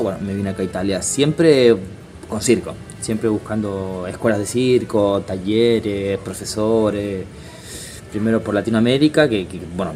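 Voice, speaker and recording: Man, 30s, from Chile, lowish voice, halting casual interview guest, soft music under. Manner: hesitant, many fillers and restarts. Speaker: interview guest, circus artist.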